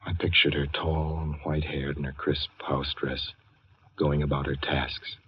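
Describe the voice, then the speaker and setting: A man's voice: very deep voice, little pitch movement, a little slow, a little hiss or hum. A haunted man, old radio drama. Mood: haunted, brooding. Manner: brooding, dramatic, breaking into nervous stammering. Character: haunted, frightened young man on a lonely drive. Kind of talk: 1940s radio drama narration and acting. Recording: muffled old radio recording with music.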